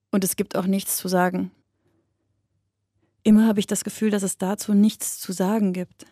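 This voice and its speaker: An actress playing a somber woman narrator in a radio drama, speaking in a measured, reflective, literary monologue addressed to a withdrawn loved one. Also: mid-range voice, vocal fry, warm tone, husky, no room sound, studio quality. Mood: resigned, sad.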